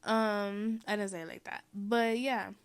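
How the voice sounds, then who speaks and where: A young woman from Mexico giving a casual, rambling voiceover, full of "um" and "like" and quick laughs. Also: mid-range voice, fairly even intonation, smooth. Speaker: vlogger.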